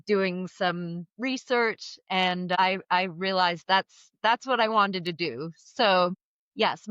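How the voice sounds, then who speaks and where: A woman with a smooth, resonant voice recounts her path in a wildlife career, earnest and articulate, at a middling pitch. She grew up in Switzerland and Vermont. The audio is close and dry, a little dull, as from a remote call. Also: a bit bright, no echo. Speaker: wildlife biologist guest. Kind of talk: reflective storytelling in conversation.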